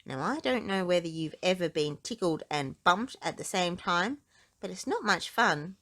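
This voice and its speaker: A woman reading a children's book aloud in an expressive storytelling style, drawing out words for emphasis. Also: fairly low pitch, moderate pace.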